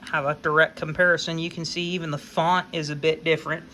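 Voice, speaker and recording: A man's voice: fairly high pitch, level tone, fast talker, bright, forceful tone, slight background noise. An American man giving a casual, unscripted explanation as a hobbyist video host, in a folksy, plain-spoken way.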